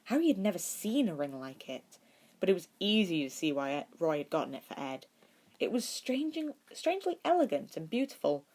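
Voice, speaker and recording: A woman narrating fan fiction as a podfic reader. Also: lowish voice.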